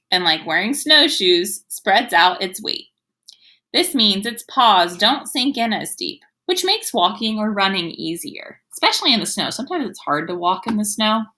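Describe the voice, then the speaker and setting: A woman with a bright, forward voice reads aloud as an elementary school teacher would. She speaks directly to young children in an instructive manner, pausing to explain and sound out words. Her pitch sits in an ordinary middle range for a woman. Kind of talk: children's read-aloud reading lesson.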